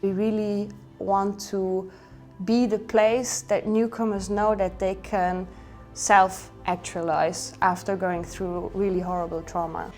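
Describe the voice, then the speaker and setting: A woman's voice: medium pitch, flat delivery, takes it slowly, a little mellow, faint music behind. A woman from the organization talking in an enthusiastic, conversational way in an interview. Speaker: organization staff member.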